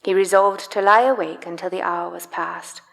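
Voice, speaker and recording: A woman narrating a live radio play dramatically, with theatrical, emphatic and deliberate phrasing. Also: on the low side, fairly even intonation, gravelly, a bit bright. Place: live stage in a church. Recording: long church hall reverb.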